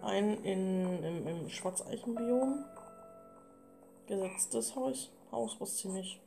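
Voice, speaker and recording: A woman's voice: medium pitch, slow, vocal fry. A woman chatting casually as a let's player during gameplay. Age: 20s. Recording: loud guitar music over voice. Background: guitar music.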